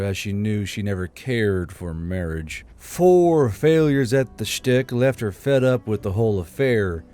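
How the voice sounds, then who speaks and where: A man reading scripted fiction as an audiobook narrator, in a measured storytelling manner. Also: mid-range voice, mellow.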